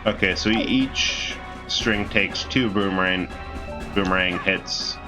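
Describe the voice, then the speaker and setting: A man casually commenting while playing a video game, rambling and breaking off mid-thought, with a deep voice he says suits villains. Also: takes it slowly, over music. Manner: rambling, self-interrupting, laughs at himself. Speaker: game streamer.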